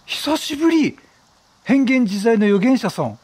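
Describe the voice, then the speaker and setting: A middle-aged man giving excitable, casual let's play commentary, reacting chattily and repeating his phrases. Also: very high-pitched, a little slow, husky, no room sound. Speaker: gaming streamer. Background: game music.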